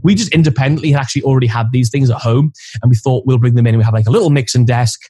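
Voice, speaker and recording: A man co-hosting a podcast with a loud voice, chatting casually and explaining gear step by step, recorded on a studio mic in an office corner. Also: mid-range voice, rapid-fire, breathy edge, no echo. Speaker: podcast co-host. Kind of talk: casual chat. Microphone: studio condenser mic on boom arm.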